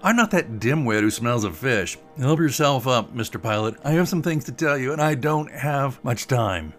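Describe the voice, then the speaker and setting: A man's voice: mid-range voice, animated, moderate pace, a bit bright, pitched above the performer's own voice, natural voice. Casting: male narrator as eerie, impatient taunter, mirthless and scolding, for a story reading over music. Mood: urgent.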